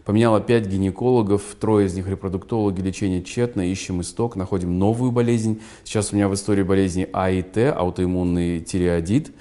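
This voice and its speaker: A man's voice: sits low, fairly even intonation, brisk, hardly any pauses, dark tone. A man hosting an interview, giving short murmurs of agreement and reading viewers' questions aloud. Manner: brief listening murmurs, reads questions aloud. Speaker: interview host.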